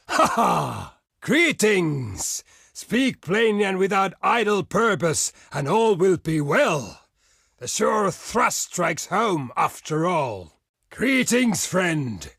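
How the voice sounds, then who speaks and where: Barbarian chieftain for a strategy game: proud, boisterous, husky and gravelly, declaiming slowly and bluntly. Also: male voice, a little high, no room sound, studio quality, heavily acted. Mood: frank, stern. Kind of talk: video game character voice lines.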